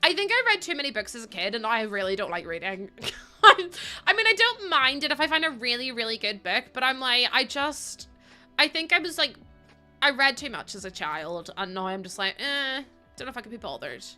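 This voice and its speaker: Woman, livestreamer, chatty, laughs a lot, very bright tone, faint background music. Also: fairly high pitch, big pitch swings, fairly quick. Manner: chatty, laughs often, greets chat warmly. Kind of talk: casual chat with stream viewers.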